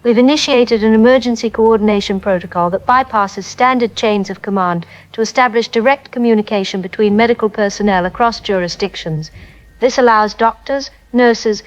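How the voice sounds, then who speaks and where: An actress plays a female aid coordinator on a slightly dull, lo-fi line. She is middle-pitched, formal and articulate, giving a concerned, businesslike crisis briefing in a radio drama. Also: close to an everyday voice. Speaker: aid spokesperson. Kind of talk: scripted news interview.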